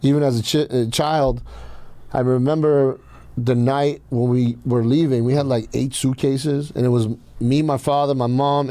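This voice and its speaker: A man's voice: medium pitch, dark tone, no room sound. A man from Iran telling personal stories casually as a podcast host, blunt and swearing freely.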